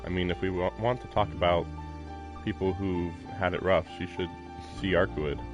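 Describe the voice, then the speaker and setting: A man's voice: deep voice, steady tone, normal speed, highs cut off. A man live streaming a visual novel, reading its text aloud with casual, informal commentary. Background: faint game music.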